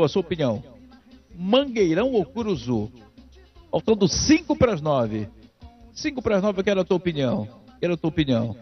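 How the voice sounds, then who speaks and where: A man hosts a sports call-in show with teasing energy. His fairly high voice leaps around in pitch as he repeats his phrases, and faint music plays behind him. The sound is slightly dull and lo-fi. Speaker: radio sports show host. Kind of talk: lively call-in radio hosting. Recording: muffled lo-fi radio sound.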